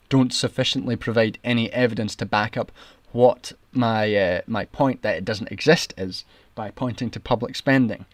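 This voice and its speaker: A man's voice: mid-range voice, no echo. Indignant Scottish man ranting on stream. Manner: indignant, sarcastic, argumentative. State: voice getting raspy. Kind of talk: ranting livestream commentary.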